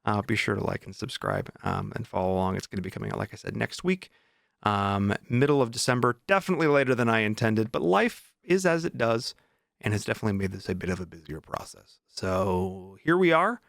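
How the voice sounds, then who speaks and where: A man's voice: fairly low pitch, expressive, fairly quick, creaky, fairly bright tone, dry recording, clean studio sound. A man chatting casually as a vlog host, informal and enthusiastic about his writing. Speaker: vlog host and indie author.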